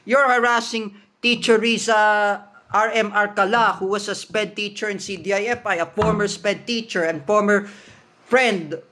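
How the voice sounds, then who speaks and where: High-voiced Filipino man ranting angrily. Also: fairly even intonation, pushed and bright. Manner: accusatory, repetitive, halting English with restarts. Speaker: vlogger. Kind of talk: angry rant to camera.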